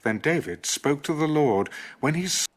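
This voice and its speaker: A man's voice: on the high side, animated, normal speed. A man narrating scripture from a script in a formal, solemn manner.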